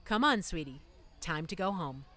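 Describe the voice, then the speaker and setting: A woman playing a loving, protective mother of a young child in an audio drama, with a gentle, coaxing manner. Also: fairly low pitch, expressive, normal speed.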